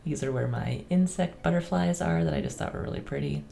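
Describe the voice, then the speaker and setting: A woman with a raspy voice, getting over a cold, casually touring her game world in a relaxed, chatty voice-over with soft ums. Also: low-pitched, a little fast, slight background noise. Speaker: gaming channel host.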